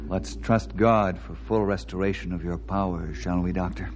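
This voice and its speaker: An actor playing an earnest, devout ranger, respectful and sincere, in an old-time radio drama. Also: male voice, low voice, steady tone, moderate pace, natural voice. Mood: concerned, quiet. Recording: muffled, very noisy old radio recording.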